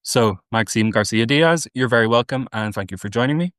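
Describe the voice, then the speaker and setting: A man hosting a podcast interview, giving a formal introduction and asking measured questions. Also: medium pitch, lively intonation, a bit bright, no echo, clean studio sound. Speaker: podcast host.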